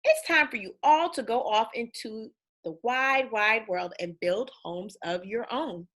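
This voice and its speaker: A woman's voice: a little high, a little slow, fairly bright tone. A woman voicing a kindly but firm mother pig in a storybook, giving emphatic advice.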